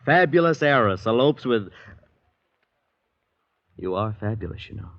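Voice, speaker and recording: A man's voice: medium pitch, lively intonation, a little slow. An actor playing an anxious, lovestruck young American man who tells his own adventure in an old radio drama. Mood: earnest, curious. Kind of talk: 1940s-50s American radio drama acting. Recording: muffled old radio recording, music underneath.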